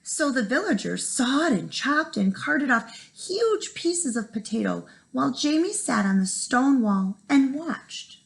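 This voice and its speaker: A woman reads a storybook aloud like a teacher to young children. Her voice sits fairly high and swings widely in pitch, with frequent creak at the edges. She leaves dramatic pauses for effect. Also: big pitch swings, poor audio. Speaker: first grade teacher.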